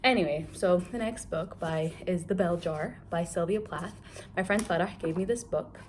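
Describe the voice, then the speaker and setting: A woman's voice: on the low side, normal speed, slight background noise. Chatty young woman reviewing books. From Kuwait. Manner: chatty and candid, heavy use of filler "like". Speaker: book reviewer. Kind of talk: casual book review vlog.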